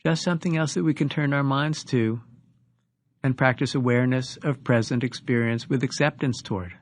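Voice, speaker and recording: A man leading a guided meditation as a teacher, giving instructions with deliberate pauses between phrases. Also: medium pitch, no room sound, studio quality.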